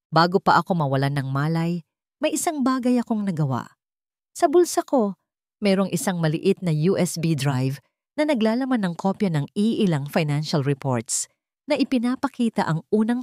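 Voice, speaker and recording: Female Filipino storyteller, lowish pitch, solemn emotive dramatic narration, dry sound. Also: darkish tone, dry recording. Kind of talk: dramatic scripted first-person storytelling.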